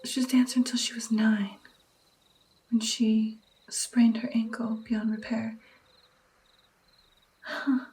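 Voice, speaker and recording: A woman doing whispered ASMR roleplay as a gentle, caring vampire mentor, with an intimate, breathy delivery. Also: fairly high pitch, level tone, slow, long pauses, low-quality recording.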